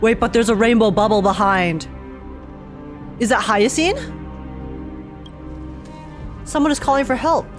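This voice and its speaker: A woman's voice: fairly high pitch, expressive, unhurried, long pauses, music in the background. A woman streamer giving casual, emotional live commentary on a game in loose fannish slang.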